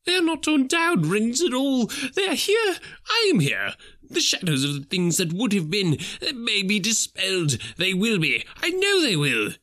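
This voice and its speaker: A man voicing an old miserly gentleman who pleads in a high, strained voice. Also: very animated, normal speed, full character voice. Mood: giddy. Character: old miserly man, terrified then overjoyed.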